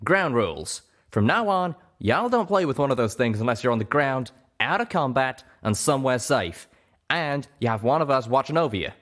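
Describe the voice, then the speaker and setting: A man doing a gruff drawling pegasus. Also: medium pitch, expressive, moderate pace, voice pushed up, close to an everyday voice. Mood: firm. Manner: drawling, scolding, forceful. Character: gruff male pegasus, protective, blunt.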